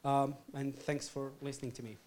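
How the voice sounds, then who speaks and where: A man's voice: medium pitch, normal speed. A man from Portugal, giving a conference talk to an audience and answering questions, with lots of fillers. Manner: energetic, frequent "uh" and "um" fillers. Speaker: startup co-founder giving a talk. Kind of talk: conference presentation and Q&A. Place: event hall with audience.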